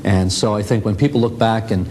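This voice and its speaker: A man speaks as an interviewed expert. He is reflective and picks his phrases slowly and haltingly. Faint noise and rumble sit behind him. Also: steady tone, a little mellow. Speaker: interviewed broadcasting author. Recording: clear background noise with low rumble.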